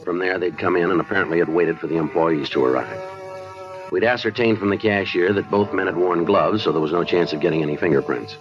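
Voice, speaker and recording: An actor playing a terse, matter-of-fact police detective in a 1950s radio crime drama. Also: male voice, low-pitched, fast talker, lightly acted. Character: clipped, businesslike male police detective narrating his case. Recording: muffled 1950s radio recording with music.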